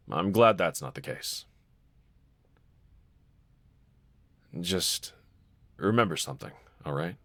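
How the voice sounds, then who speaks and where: A man playing a gentle, encouraging husband in an intimate scripted roleplay audio, speaking in a considerate, reassuring manner. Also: rumbling low, no echo. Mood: tender, sincere. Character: gentle, encouraging adult husband, calm and caring. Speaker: character audio voice actor.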